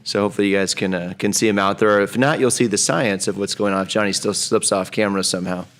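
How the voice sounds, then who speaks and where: Fast-talking man hosting a podcast. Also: fairly low pitch, steady tone, fairly bright tone, a little hiss or hum. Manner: quick, eager, full of fillers. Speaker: podcast host. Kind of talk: casual podcast interview.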